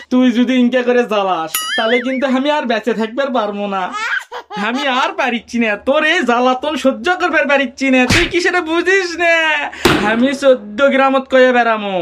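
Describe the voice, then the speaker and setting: A man's voice: very high voice, very noisy. A man voicing a hot-tempered older village father-in-law in a comic cartoon, scolding and whining in a northern Bangladeshi accent. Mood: pleading despair, then bitter ranting. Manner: scolding, swearing, whining in exaggerated despair.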